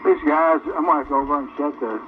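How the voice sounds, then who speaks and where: A man's voice: a little high, a little fast. Folksy man over a noisy CB radio. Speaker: radio contact. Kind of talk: casual radio chat. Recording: dull CB radio transmission, noisy static.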